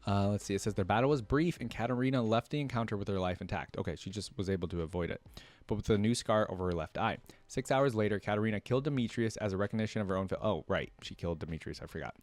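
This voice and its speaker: A man's voice: on the low side, fast, darkish tone, dry recording. A man hosting a reaction video in a casual, chatty way, reading aloud and adding his own musing asides. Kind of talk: casual commentary, reading aloud from wiki trivia.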